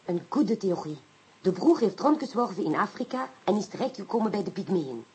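An analytical female sleuth in a radio drama. The voice is very husky with lively, wide intonation, composed as she reasons things through. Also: mid-range voice, brisk, rough recording. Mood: reasoning, intrigued. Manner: analytical, drops in French phrases. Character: inspector's female French-speaking partner, analytical and composed. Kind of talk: radio play acting.

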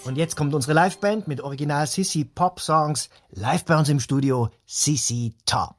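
Woman playing a very low-voiced radio co-host. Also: normal speed, faint music behind, close to an everyday voice. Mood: enthusiastic. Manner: chatty, agreeing, improvised-sounding. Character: easygoing female radio co-host, enthusiastic sidekick. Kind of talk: radio play parody of live radio.

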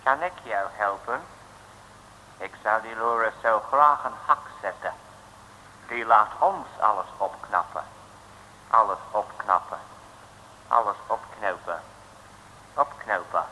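A crisp, haughty gentleman crook. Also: lowish voice, slow, pauses often, a little hiss or hum, heavily acted, rough recording. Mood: plotting. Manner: throws in English exclamations, repeats himself. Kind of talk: 1950s radio play acting.

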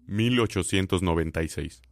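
A man's voice: low-pitched, normal speed, no echo. A man playing a solemn scene announcer in a radio drama, giving scripted narration. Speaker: announcer of scene dates and places.

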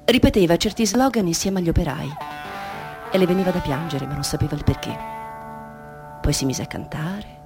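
A woman narrating a literary radio drama with measured, dramatic phrasing. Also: on the low side, music in the background.

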